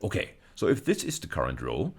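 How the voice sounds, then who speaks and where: A male university lecturer speaks in a very low, deep register. His intonation moves up and down in a lively way as he explains. He works methodically, restating phrases to stress them. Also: a little fast, husky. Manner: methodical, repeats phrases for emphasis. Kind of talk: explanatory video lecture with emphatic repetition.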